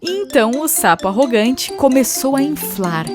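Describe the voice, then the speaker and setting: A woman narrates a children's storybook fable from a script. The voice sits fairly high, with music clearly playing behind it. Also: big pitch swings, normal speed.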